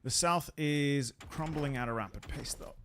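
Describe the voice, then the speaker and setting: A man's voice: on the high side, normal speed, noisy background. A man hosting a gaming channel, giving wry, playful live commentary on a strategy game, his voice slightly worn. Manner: wry, playful, jokey asides.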